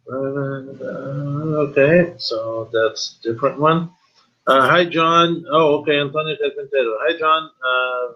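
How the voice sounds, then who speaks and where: Male webinar host, 50s, slightly high voice, informal and halting, muffled video-call sound. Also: bright, forceful tone. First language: not Spanish, though speaking it at times. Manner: halting, informal, switching between English and Spanish. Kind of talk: casual webinar talk, reading and answering viewer questions. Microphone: video call, computer microphone.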